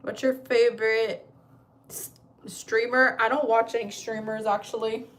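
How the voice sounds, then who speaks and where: A woman's voice: fairly high pitch, little pitch movement, unhurried, a bit bright. A woman in her thirties, from Chile, chatting casually on a livestream from home, rambling and swearing freely. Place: at home, indoors.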